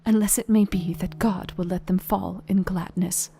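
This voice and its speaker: A woman's voice: on the low side, steady tone, moderate pace, creaky, heavy background noise. A woman playing a brave, devoted young Victorian wife, reading her journal in an earnest, formal manner for a radio play. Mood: sorrowful, steeling herself. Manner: earnest, heartfelt, formal old-fashioned phrasing. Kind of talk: dramatized diary narration in a radio play.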